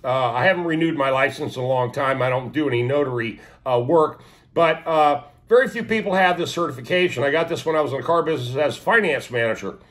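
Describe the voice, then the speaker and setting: A man's voice: medium pitch, fast talker, fairly bright tone. A man in his sixties with a sniffly, stuffed-up nose, rambling casually to his viewers with many fillers and restarts. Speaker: vlogger. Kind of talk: casual talk to camera.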